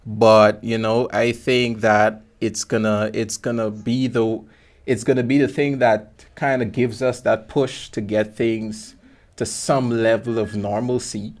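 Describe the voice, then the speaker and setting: A man's voice: fairly low pitch, flat delivery, unhurried. A man from Guyana, hosting a podcast in a casual, laid-back conversational style full of informal slang. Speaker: podcast host. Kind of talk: casual conversational interview chat.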